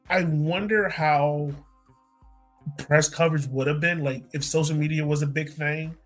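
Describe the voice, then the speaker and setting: A man with a fairly high voice hosts a casual sports podcast. He speaks informally and with enthusiasm, and music plays behind him. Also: a bit bright. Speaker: podcast host.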